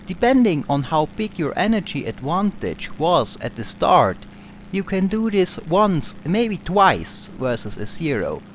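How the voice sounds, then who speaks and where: Austrian-accented young man, lively pitch. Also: measured pace, slight background noise. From Austria, Tyrol. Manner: calm, explanatory. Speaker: gaming channel host. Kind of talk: Q&A over flight game footage.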